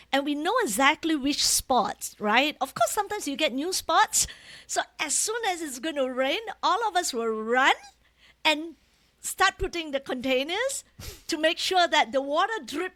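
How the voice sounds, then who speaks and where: High-voiced Malaysian woman telling stories. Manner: animated, often ends phrases with tag questions. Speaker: interview guest, author. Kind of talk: casual storytelling interview.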